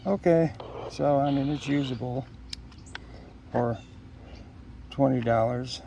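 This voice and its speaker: A man chatting casually through an unboxing, unscripted and halting as he thinks aloud. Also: mid-range voice, creaky, heavy background noise. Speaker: product unboxer.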